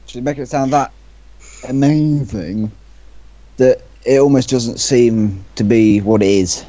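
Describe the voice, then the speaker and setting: A man's voice: mid-range voice, slow pace, mellow, no echo. A man bantering casually with friends, on a dull, dry lo-fi recording. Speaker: member of a group reaction show.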